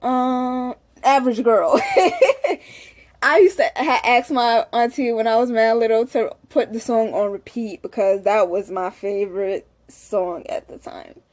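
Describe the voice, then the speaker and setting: A woman's voice: fairly high pitch, measured pace, a little mellow. A young woman in casual, candid talk to the camera, rambling with frequent "you know"s. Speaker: vlogger.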